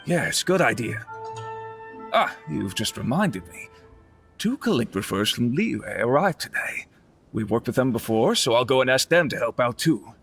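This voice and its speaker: A man's voice: mid-range voice, big pitch swings, normal speed, vocal fry, a bit bright, faint music behind. An actor playing a composed, considerate male publishing manager in a video game, polite and organised. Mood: decisive. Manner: polite, organised, giving instructions.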